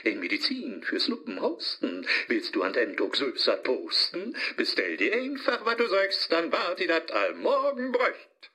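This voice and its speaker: An actor playing a pushy, officious voice assistant with devilish cheek in a rhymed radio play, speaking with a Low German accent. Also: male voice, wildly swooping pitch, measured pace, sharp tone, slightly rough, heavily acted. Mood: oily, eager. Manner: overeager, officious, commanding.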